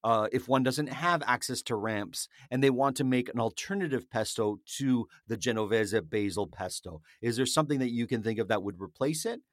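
A man's voice: mid-range voice, normal speed, few pauses, no echo, clean studio sound. A man co-hosting a casual food chat, rambling happily through stories. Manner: chatty, rambling storyteller. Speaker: podcast co-host.

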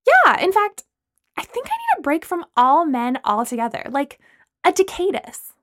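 A bubbly young woman, put on by a female storyteller, chirps in a very high, bright voice. She is giddy and shameless, and her pitch leaps all over the place. This is a storybook figure. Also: huge pitch swings, normal speed, dry recording, full character voice.

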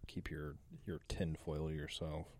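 A man's voice: rumbling low, little pitch movement, slow pace, a little mellow, dry recording. A man appearing as a podcast guest, giving blunt, opinionated takes in casual chat.

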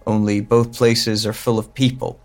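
A man's voice: lowish voice, little pitch movement, normal speed, faint music behind, lightly acted. An actor playing a bewildered, lonely man with lost memory in a hesitant, introspective audio drama monologue. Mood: pensive, uneasy. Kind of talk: slow first-person audio drama monologue.

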